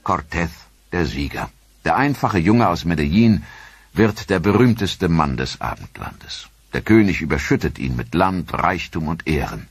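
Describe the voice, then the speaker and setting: A man narrating a history documentary in a grave, measured voice-over. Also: sits low, animated, breathy edge, no room sound.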